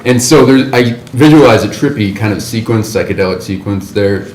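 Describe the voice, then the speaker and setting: A man's voice: lowish voice, brisk, darkish tone. A man in a writers' group, thinking aloud as he critiques a script in a casual discussion. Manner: thinks aloud, rambling with restarts. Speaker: writers' group member giving feedback. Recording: roomy table recording, faint background noise.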